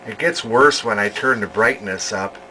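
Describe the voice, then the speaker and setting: An older man giving a casual, unscripted hobbyist demonstration, talking in a folksy, halting way as he thinks aloud. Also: lowish voice, sharp tone, slight background noise, rough recording. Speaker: hobbyist demonstrating a vintage television he repairs.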